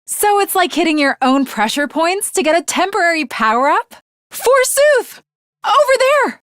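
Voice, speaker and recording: A young samurai woman from an anime game, with a very high voice that moves through dramatic swings. She is earnest and haughty, choosing archaic, formal words and delivering them with theatrical weight, in an unhurried, closely dry sound. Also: very animated, fairly bright tone, dry recording, heavily acted. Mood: bombastic, excited. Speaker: video game voice actress.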